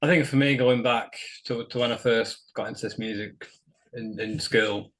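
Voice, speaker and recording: A man's voice: medium pitch, fairly even intonation, moderate pace, a bit bright. A man who drums in a band, chatting casually in an interview. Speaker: band drummer.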